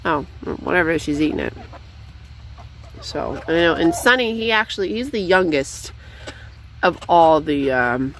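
Young woman rambling on a phone vid in her chicken yard, lowish voice but swoops way up, faint outdoor hiss. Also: animated, measured pace, low-quality recording. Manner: chatty, rambling, coos in a high voice at the chickens. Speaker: vlogger feeding her backyard chickens. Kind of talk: casual off-the-cuff vlog chat. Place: outdoor chicken run, backyard.

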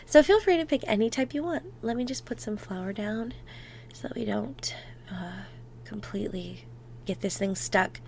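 A woman playing an affectionate, playful girlfriend in an ASMR roleplay, talking softly and intimately, sometimes close to a whisper. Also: mid-range voice, creaky, a little hiss or hum, natural voice. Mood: cheerful, relaxed. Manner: soft, affectionate, unhurried, dropping into near-whispers.

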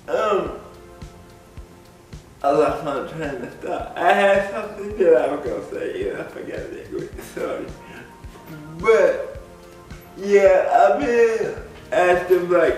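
A young man vlogging casually to camera from his living room, congested, halting and laughing often. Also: high-pitched. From United States. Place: living room with big windows. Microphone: camera across the room. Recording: echoey room, voice from far off.

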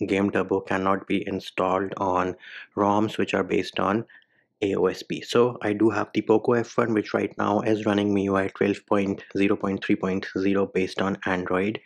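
A man's voice: on the low side, vocal fry. A man hosting a phone tutorial voice-over, giving informal step-by-step instructions in short clipped phrases. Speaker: tech tutorial video host.

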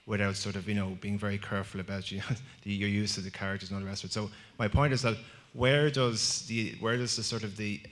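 A man's voice: fairly low pitch, fairly even intonation, fast, a bit bright. A man asking a long question from the floor at a conference, rambling and searching for words. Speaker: audience questioner. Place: conference function room.

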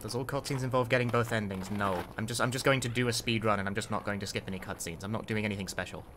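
A man's voice: fairly low pitch, steady tone, quick pace, noisy background. A young man giving casual live commentary as a speedrun streamer, often repeating phrases for emphasis. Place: indoor room at home.

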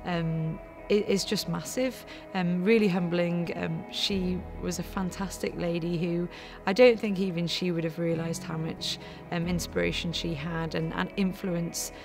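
A woman in her thirties, a netball captain, speaking thoughtfully with halting fillers in a seated interview. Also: on the low side, steady tone, music in the background. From Wales. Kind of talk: reflective interview. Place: studio before a backdrop.

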